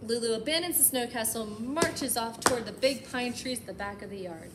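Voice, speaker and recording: A woman with a fairly high voice reads a story to young children as a storytime host. Her delivery is expressive and emphatic, slipping easily into chatty asides. The recording has clear background noise around her voice. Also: brisk. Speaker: library storytime host reading a picture book aloud.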